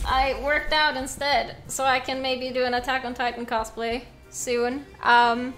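A young Norwegian woman with a high, light voice, talking to her stream chat from a desk at home. She speaks English as a second language, chatty and quick to laugh, with faint music underneath. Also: pushed and bright. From Norway. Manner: chatty, laughs often, reads and answers chat. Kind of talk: casual gameplay chat with viewers.

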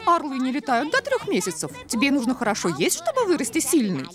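A woman's voice: sits high, huge pitch swings, fast. A woman dubbing a cartoon, stern and scolding toward unruly chicks. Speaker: dub voice actor. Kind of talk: dubbed cartoon acting. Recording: music bed.

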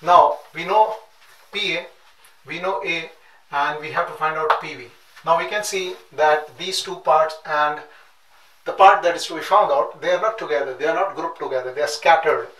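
A middle-aged man teaching at a chalkboard, working through calculations step by step and repeating numbers slowly as he writes. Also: fairly high pitch, sharp tone, far from the mic. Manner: methodical, repeats numbers slowly while writing. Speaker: nautical science instructor. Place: classroom at a chalkboard. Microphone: room mic at a distance, back often turned. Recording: echoey room, off-mic, clear noise.

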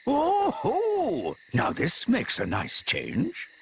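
A man's voice: very high voice, huge pitch swings, drawn-out words, vocal fry, a little hiss or hum, heavily acted. An actor playing an old titan turned tiny dragon, a pompous, wordy, fatherly grandpa in a video game. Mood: curious. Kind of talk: video game cutscene acting. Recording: music under the voice.

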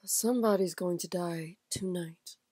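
An actress playing a confident, alluring and mysterious stage actress who comes as a client in an audio drama, poised and dramatic. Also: female voice, lowish voice, unhurried, mellow, dry recording, studio quality.

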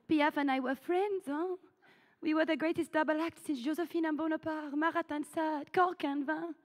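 A woman's voice: sits high, a little mellow. A woman playing a haughty, theatrical French chanteuse with a put-on French accent, singing comic cabaret songs. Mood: scornful patter. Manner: camp, mocking, flamboyant, sung with musical backing. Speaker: cabaret performer. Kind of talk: comic cabaret song and patter.

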